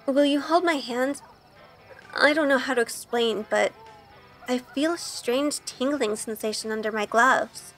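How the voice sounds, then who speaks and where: A woman plays a sheltered young witch in an audio drama, sweet-natured and full of wonder. Her voice sits fairly high. It rings in a long reverb with soft music underneath. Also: measured pace. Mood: shy, hesitant. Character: Grace, wide-eyed sheltered good young woman turned witch. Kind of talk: first-person audio drama narration with in-scene dialogue.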